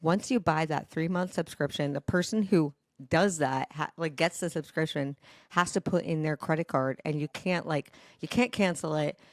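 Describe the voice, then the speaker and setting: Low voiced woman podcaster, dramatic n sweary casual storytelling, super dry sound. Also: moderate pace, dry recording. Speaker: podcast host.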